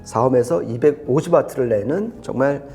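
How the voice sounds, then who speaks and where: A man's voice: medium pitch, moderate pace, dark tone, soft background music. A man giving an unscripted technical product review in a conversational, explanatory and emphatic way. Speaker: audio equipment reviewer explaining specs.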